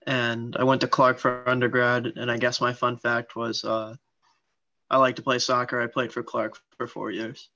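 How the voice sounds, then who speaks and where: Flat-voiced student from Massachusetts. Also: male voice, mid-range voice, moderate pace. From Western Massachusetts. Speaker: MBA student. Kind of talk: self-introduction. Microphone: video call. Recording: dull video-call audio.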